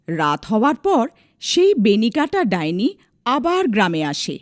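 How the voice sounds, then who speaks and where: A woman's voice: a little high, very animated, unhurried, dry recording, clean studio sound. A woman narrating a scripted dramatic story as a storyteller.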